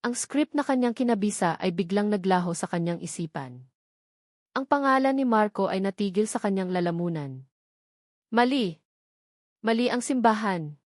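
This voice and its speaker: A woman's voice: medium pitch, animated, moderate pace, long pauses, no room sound. Filipino woman narrating a dramatic story, dry sound. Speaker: audiobook narrator.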